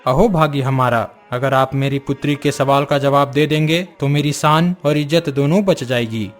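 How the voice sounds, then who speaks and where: A man's voice: medium pitch, normal speed, faint music behind, close to an everyday voice. A man voicing a dignified middle-aged king and father in a cartoon story, speaking in a formal, courtly way. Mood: gracious.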